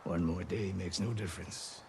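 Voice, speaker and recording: An actor playing a gruff older archery master in a video game, with a gravelly voice and terse, unsentimental delivery. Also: male voice, low voice, fairly even intonation, normal speed. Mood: dry, gruff. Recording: noisy ambient sound bed.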